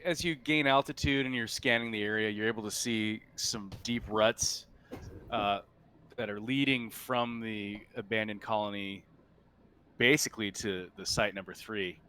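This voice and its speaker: A man acting as game master, improvising halting scene descriptions for a tabletop roleplaying game. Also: lowish voice, fairly even intonation, bright, forceful tone, clear, resonant tone.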